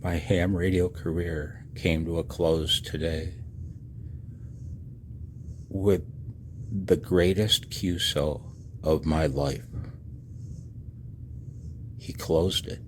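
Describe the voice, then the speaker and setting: A man in his sixties giving a personal voice-over announcement, halting and reflective, with a husky low voice. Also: steady tone, a little hiss or hum. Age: 60s. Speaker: amateur radio hobbyist and video narrator. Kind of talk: personal voice-over announcement, partly read from own text.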